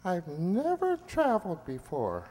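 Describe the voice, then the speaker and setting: A man's voice: high voice, huge pitch swings, unhurried, mellow, heavily acted. A man voicing a small talking mouse, a naive, folksy family man in a radio play. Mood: hesitant, timid. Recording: echoey hall with long reverb.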